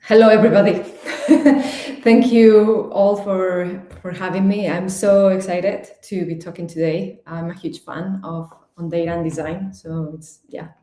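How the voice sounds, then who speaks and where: A woman's voice: on the low side, a little slow, hardly any pauses, reverberant. A young woman with a Spanish accent giving a chatty, slightly nervous talk as a guest speaker. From Spain. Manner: chatty, nervous, lively with fillers and restarts. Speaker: guest speaker, digital designer. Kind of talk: online talk presentation.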